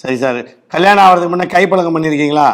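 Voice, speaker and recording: A man's voice: on the high side, rapid-fire, a bit bright. A man with a Tamil Nadu accent, a doctor advising a caller in a blunt, explanatory way during a phone-in consultation.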